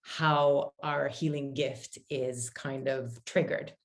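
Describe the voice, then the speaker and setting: A woman in her forties with a very deep voice speaks as an interview guest. She is from Finland and grew up in Paris. Her storytelling is candid, reflective and conversational. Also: fairly even intonation, slow pace. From born in Finland, grew up in Paris, France. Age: 40s. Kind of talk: casual, reflective personal storytelling.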